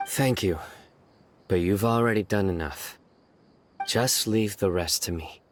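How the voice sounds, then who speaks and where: Man acting a very low, stern stoic enforcer. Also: slight background noise, natural voice. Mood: resolute. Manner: solemn, measured, formal. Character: stern, stoic male law enforcer, unwavering in justice. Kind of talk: dramatic game dialogue.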